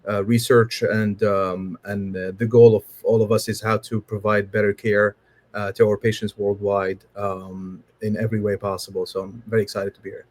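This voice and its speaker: A man from Syria, a spine surgeon, introducing himself in a measured, soft-spoken way on a video call. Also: lowish voice, little pitch movement. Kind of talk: casual AMA self-introduction.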